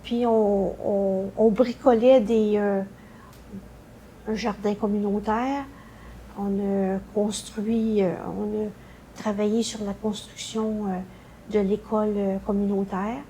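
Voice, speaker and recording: An older woman with a Quebec French accent recounting memories in a halting way, searching for her words. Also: medium pitch, flat delivery, warm tone, a little hiss or hum, poor audio. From Canada. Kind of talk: unscripted personal recollection.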